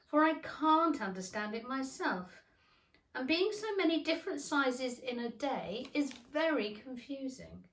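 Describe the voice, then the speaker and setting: A woman acts out a polite, flustered little girl from a children's storybook. The voice is high and hesitant, with an earnest lilt that swoops up and down. The room echoes around it. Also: sing-song, a little slow, a bit bright, recorded from a distance, exaggerated voice. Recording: roomy, echoing indoor sound.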